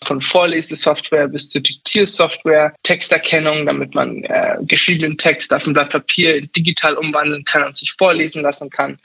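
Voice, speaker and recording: A man's voice: a little high, vocal fry, pushed and bright, breathy edge, dull audio. A man in his twenties speaking earnestly and reflectively about his own life in an interview. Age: 20s. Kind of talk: personal testimony.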